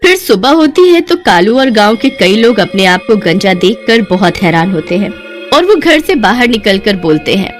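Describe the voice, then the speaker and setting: A woman's voice: medium pitch, big pitch swings, normal speed, faint music behind. A woman giving scripted storybook-style narration for a cartoon tale.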